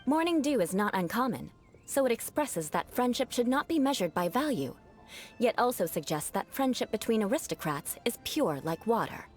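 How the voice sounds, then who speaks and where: Haughty, high-voiced young noblewoman. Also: sing-song, normal speed. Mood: instructive. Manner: formal, haughty, precise wording. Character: proud young aristocrat woman, haughty, theatrically vengeful. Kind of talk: video game voice acting. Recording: game music behind the voice. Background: music.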